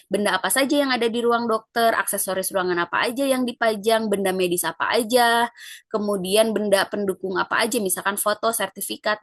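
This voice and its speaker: A woman lecturer, explaining an assignment in a relaxed, conversational way with frequent fillers. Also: mid-range voice, dry recording.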